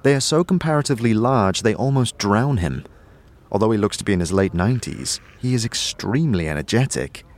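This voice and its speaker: Male narrator, lowish pitch, scripted fantasy storytelling, second-person audio drama. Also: animated, brisk.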